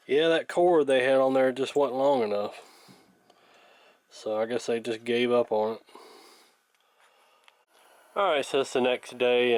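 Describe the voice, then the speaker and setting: Halting, plainspoken man thinking aloud. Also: medium pitch, no echo. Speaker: radio repair hobbyist and video host. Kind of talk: casual hands-on workshop commentary.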